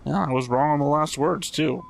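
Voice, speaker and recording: A man's voice: a little high, normal speed, creaky, soft background music. A man hosting a reaction show, commenting casually on what he watches.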